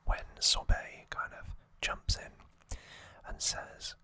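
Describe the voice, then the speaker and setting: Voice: slow, bright, forceful tone, dry recording. An ASMR host whispering an unscripted, slightly hesitant retelling, close to the microphone. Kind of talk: whispered ASMR trivia recap.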